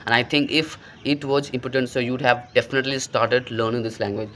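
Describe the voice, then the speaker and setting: A man's voice: mid-range voice, level tone, a little fast, fairly bright tone, a little hiss or hum. A young man with an Indian accent, giving earnest, rambling motivational advice to viewers about learning English and calling them bro. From rural India. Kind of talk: motivational talk to camera.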